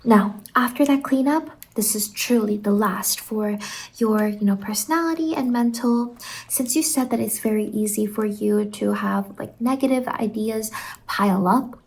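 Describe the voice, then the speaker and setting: A soft-spoken woman doing an ASMR roleplay as a salon worker, soothing and reassuring, often slipping into a whisper. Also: medium pitch, moderate pace, breathy edge, poor audio.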